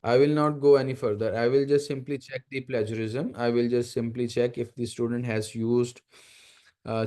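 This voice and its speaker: A man's voice: medium pitch, a little mellow. A man with a Pakistani accent, a native Urdu speaker, giving an academic lecture as a guest university lecturer, explaining step by step with emphatic repetition. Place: online video meeting.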